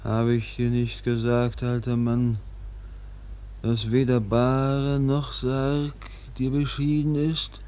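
A man's voice: mid-range voice, monotone, warm tone, clean tone, natural voice. A man playing an eerie, solemn seer in a radio drama, speaking like an oracle. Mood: solemn, ominous. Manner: slow, solemn, oracular. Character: eerie, solemn male seer and harpooner prophesying. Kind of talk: German radio play acting.

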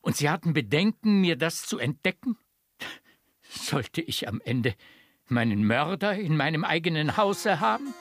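An actor playing a courteous, anxious master of a country household in a classical German radio comedy, speaking formally and emphatically. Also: male voice, on the high side, big pitch swings, a little slow, fairly bright tone, dry recording, studio quality, heavily acted. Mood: alarmed, earnest.

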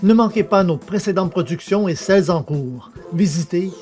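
Higher-pitched male podcast presenter. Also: normal speed, a little mellow, soft background music.

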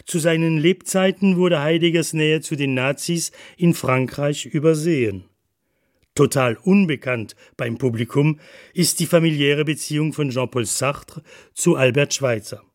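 A man delivering scripted documentary narration in a measured, factual manner. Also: fairly high pitch, dry recording, clean studio sound. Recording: music faintly under narration.

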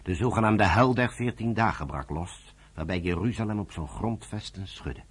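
A man's voice: fairly low pitch, expressive, normal speed, husky. A man narrating a radio drama from a script.

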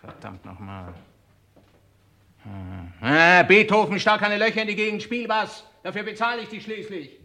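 An actor playing an irritable, defiant bar owner in a crime radio play, sarcastic and agitated. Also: male voice, sits high, expressive, normal speed, vocal fry, bright, forceful tone, full character voice. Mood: grumpy, bossy.

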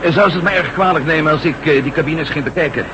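An actor playing a dogged, inquisitive ex-astronaut reporter in a Dutch radio drama. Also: male voice, on the high side, fast, dull audio, lightly acted. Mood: breezy, nosy. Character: nosy, dogged male ex-astronaut reporter working undercover. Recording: noisy old radio recording.

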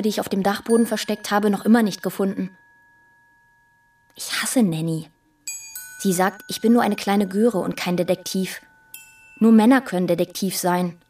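A woman's voice: medium pitch, darkish tone, husky, natural voice. Callous, petulant little girl played by a woman, slightly distant. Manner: matter-of-fact, childishly spiteful. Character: callous, petulant little girl reading her murderous diary. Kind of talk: radio drama acting.

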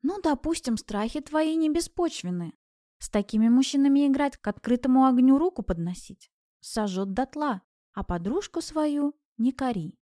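An elderly village grandmother played by a woman narrator for an audiobook. She speaks in a raised pitch with folksy tenderness, chiding gently and reassuring knowingly. The sound is close and dry. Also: lively intonation, moderate pace, no echo. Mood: firm, consoling. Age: older. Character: kindly old village granny, gently chiding and soothing. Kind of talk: audiobook character voice.

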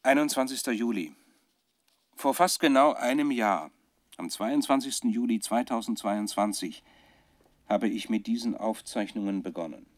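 A man's voice: fairly low pitch, moderate pace, lightly acted. An actor playing an anxious male researcher who solemnly dictates a haunted diary onto cassette for a radio drama. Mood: solemn, foreboding. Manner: solemn, dated journal entries, breaking off.

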